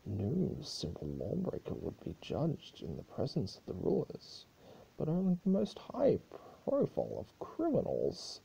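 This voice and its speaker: Male narrator for dramatic fiction: low, gravelly, very dark tone, expressive pitch. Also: measured pace, muffled recording. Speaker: narrator reading fan fiction aloud. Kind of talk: dramatic story reading.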